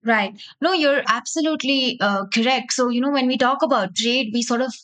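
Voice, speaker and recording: A woman's voice: high-pitched, a little fast, fairly bright tone. A woman hosting a conversational interview, agreeing and summing up as she leads into questions. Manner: agreeable, summarizing before asking. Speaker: podcast host.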